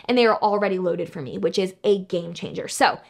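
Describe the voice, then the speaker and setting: A young woman talking as a photography educator, explaining her workflow in an upbeat, chatty way from a bright home studio. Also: medium pitch, vocal fry. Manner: upbeat, chatty, fast with fillers like "like" and "um". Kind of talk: casual tutorial talk to camera.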